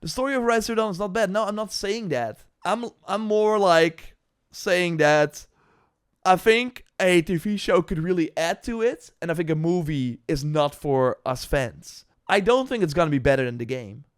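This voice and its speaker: A man with a clean, crisp voice that sits a little high talks through his gaming opinions unscripted. His delivery is casual and meandering, with stop-and-start phrasing and bursts of emphasis, like a streamer reacting for viewers. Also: expressive, normal speed. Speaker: gaming news streamer reacting to announcements and chat.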